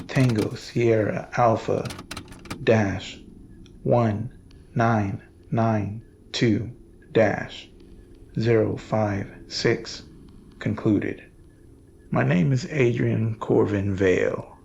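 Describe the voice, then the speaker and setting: A man hosting a horror story series, narrating a script in a theatrical, ominous, deliberate manner as a sinister keeper of shadows. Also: medium pitch, gravelly, darkish tone, dull audio, a little hiss or hum. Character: sinister keeper of shadows addressing his followers.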